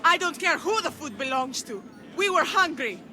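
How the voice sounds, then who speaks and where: A woman's voice: sits high, big pitch swings, moderate pace, bright, forceful tone, a faint crowd, a little hiss or hum, exaggerated voice. An actress playing a defiant, streetwise peasant mother in a video game, feisty and earnest. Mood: defiant, angry. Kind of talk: video game cutscene acting.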